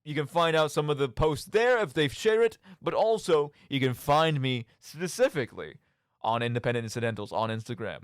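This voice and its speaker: A man's voice: fairly high pitch, lively intonation, fairly quick, clear, resonant tone, no room sound, studio quality. A young man hosting a radio show, talking casually about video games in a rambling, jokey, self-deprecating way. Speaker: radio show and podcast host.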